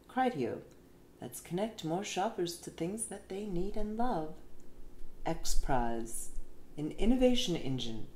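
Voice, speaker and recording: A woman reads an essay aloud in a fairly low voice with lively, swinging intonation. Her delivery is measured, with deliberate pauses. The recording has an echoey room sound. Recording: roomy echo with faint background noise.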